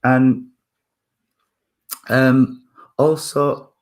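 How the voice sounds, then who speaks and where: Husky man in his fifties. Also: medium pitch, little pitch movement, a little mellow, poor audio. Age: 50s. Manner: halting, thinking aloud with many fillers and restarts. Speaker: medium. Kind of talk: spiritualist mediumship reading.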